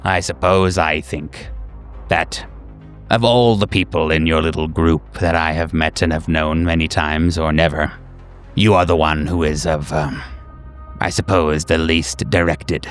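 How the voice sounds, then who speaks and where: Man playing an odd, halting, low-voiced doctor. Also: steady tone, faint music behind. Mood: measured, detached. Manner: halting, formal, stilted. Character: odd, aloof, slow-spoken male doctor of cryptic words.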